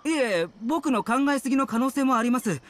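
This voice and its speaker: A man's voice: very high-pitched, animated, a little fast, a bit bright, a little hiss or hum. A man voicing a humble, loyal young servant in a video game, anxious and very polite, with an apologetic, hesitant manner. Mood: worried. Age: young adult. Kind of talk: video game character acting.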